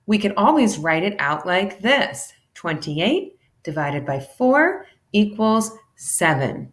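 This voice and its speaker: A woman giving an animated, deliberate read-aloud of a children's educational storybook, shifting between character lines. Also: mid-range voice. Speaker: teacher reading a children's math book aloud.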